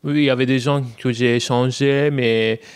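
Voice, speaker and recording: A man's voice: medium pitch, steady tone, normal speed, clear, resonant tone. A man from Iran, a guest telling his story in French, hesitant, with many restarts and fillers. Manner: hesitant, many restarts and "euh", mixes in English words. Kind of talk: personal testimony in conversation.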